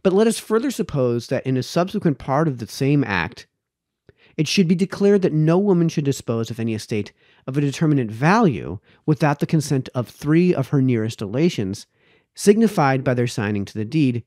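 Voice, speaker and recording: A man in his thirties reading an old essay aloud in a careful, measured way, stumbling now and then, close to the microphone. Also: mid-range voice, animated, a little mellow, dry recording. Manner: careful, measured, stumbles over words and rereads them. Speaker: reader, host of a read-aloud series. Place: small indoor home study. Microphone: close microphone on a boom arm.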